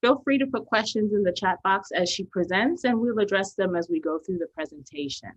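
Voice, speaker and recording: A woman's voice: mid-range voice, animated, a little fast, breathy edge. A woman acting as webinar moderator, informally welcoming attendees and handing over to a presenter, on dull webinar audio.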